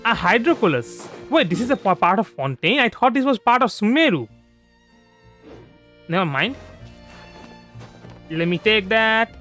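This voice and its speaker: A man's voice: sits high, very animated, unhurried, long pauses. A man chatting casually through a game livestream, rambling and often restarting his phrases. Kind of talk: casual gameplay livestream commentary. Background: soft background music.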